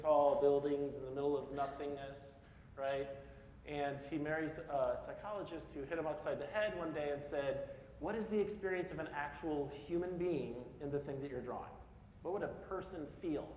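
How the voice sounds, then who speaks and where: A Midwestern man in his forties gives a conference talk from the stage, casual and self-deprecating, checking in with the crowd as he goes. His voice sits a touch high for a man. The sound is roomy with a long hall reverb and a faint hiss underneath. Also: a little mellow. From Peoria, Illinois (US Midwest). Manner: casual, self-deprecating, asks the audience questions. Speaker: speaker at a public talk. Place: large hall in front of an audience. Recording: echoey hall with faint noise.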